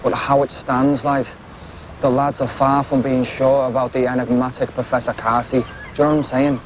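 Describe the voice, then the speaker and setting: An actor playing a brash young Liverpool gang lad, heard through a noisy film clip. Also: male voice, medium pitch, flat delivery, normal speed, natural voice. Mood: persuasive.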